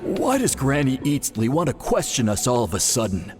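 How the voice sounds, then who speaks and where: Earnest priest, lively and reverberant. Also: male voice, medium pitch, moderate pace. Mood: flustered. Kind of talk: video game character acting. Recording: reverberant, faint music behind.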